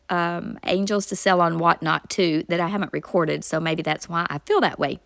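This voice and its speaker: A woman narrates her craft project in a friendly, homey way, explaining each step as she goes. Her voice sits at a middle pitch and is a little stuffy and nasal from a cold. It is recorded close up in a dry, dead room. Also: creaky, dry recording. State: nasal from a cold, sore throat. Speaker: craft channel host. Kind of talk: casual craft tutorial voice-over.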